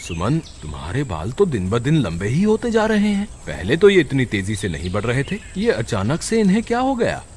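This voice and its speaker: A man's voice: mid-range voice, wildly swooping pitch, moderate pace, hardly any pauses, a little mellow, a little hiss or hum. A man voicing a worried village husband in a cartoon, exclaiming anxiously and dramatically.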